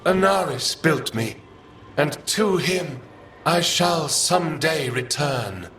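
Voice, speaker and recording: An actor voicing a haughty, scornful ancient archive spirit in a fantasy game, speaking with formal, pompous condescension. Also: male voice, a little high, animated, slow pace, a bit bright, a little hiss or hum. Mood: reverent. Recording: echoing voice with faint music.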